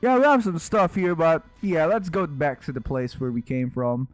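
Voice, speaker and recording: A man doing casual live gameplay commentary, exclamatory and quick to swear. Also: fairly high pitch, no room sound, faint music behind. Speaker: game streamer.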